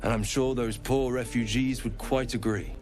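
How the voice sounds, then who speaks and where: Man playing calm male trader, fantasy game, polite, composed, mid-pitched, faint music. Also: moderate pace, lightly acted. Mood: relieved, courteous. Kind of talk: cinematic fantasy game acting.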